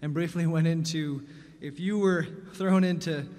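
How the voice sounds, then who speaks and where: A man's voice: fairly high pitch, steady tone, measured pace, darkish tone. A man from Vermont, a fiddler and bandleader, telling easygoing stories to a concert audience between tunes, with plenty of "uh"s. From Vermont, New England. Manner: easygoing and folksy, with many "uh"s. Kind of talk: storytelling stage patter between tunes. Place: concert stage. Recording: long reverb of a concert hall.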